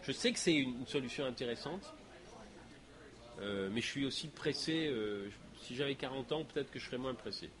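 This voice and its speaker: A man's voice: medium pitch, expressive, moderate pace, a crowd in the background. A man, speaking French as his first language, answers audience questions after a lecture in a hall, thinking aloud with many fillers and restarts. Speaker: guest speaker.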